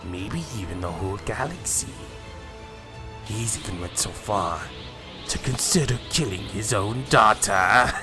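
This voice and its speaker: A man acting as a cruel anime villain, deep and unhurried, savoring every taunt with mocking menace. Loud music plays behind him as he gloats. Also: expressive. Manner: mocking, slow, menacing, chuckling. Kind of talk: fan-made anime roleplay drama acting. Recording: music playing loudly behind the voice.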